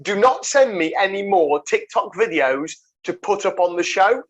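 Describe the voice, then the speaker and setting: High-voiced man hosting banter. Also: lively intonation, normal speed. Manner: jokey, laughs often, calls people mate. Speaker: podcast host. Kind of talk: casual podcast banter.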